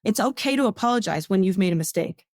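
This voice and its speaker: A woman speaks as a podcast guest at a middle pitch. She is firm and matter-of-fact, and her voice sounds dry and close with no room echo. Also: animated, quick pace. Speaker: podcast guest, government advocacy consultant. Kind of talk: casual podcast conversation.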